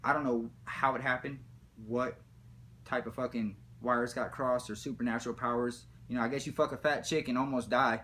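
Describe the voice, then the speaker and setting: A man's voice: medium pitch, steady tone, a little fast, fairly bright tone. Man, casual storyteller, rambling, slangy, foul-mouthed, faint background noise.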